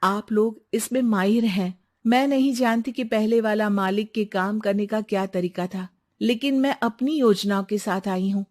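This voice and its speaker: A woman's voice: mid-range voice, normal speed, darkish tone, natural voice. Woman voicing determined, earnest young businesswoman. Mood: earnest promise. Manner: firm, earnest, persuasive. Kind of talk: Hindi cartoon moral story acting.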